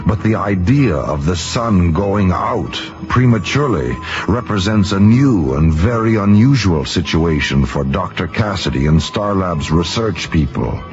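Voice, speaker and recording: A man delivering dramatic, documentary-style scripted narration for a radio drama, portentous and deliberate. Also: sits low, expressive, soft background music. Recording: muffled radio drama recording.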